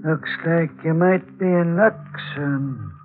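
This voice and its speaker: An actor playing a somber, fatherly older morgue attendant in a 1940s radio drama, speaking gravely and mysteriously. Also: a little high, takes it slowly. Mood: inviting, mysterious. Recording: tinny 1940s radio recording, faint music.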